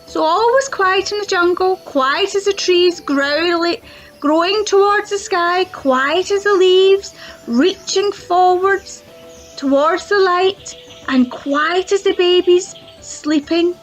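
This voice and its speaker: A children's librarian, reading a picture book aloud with lots of expressive sound words. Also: female voice, very high voice, takes it slowly, faint music behind, rough recording. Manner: expressive, sound-effect-filled storytelling. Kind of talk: storybook read-aloud.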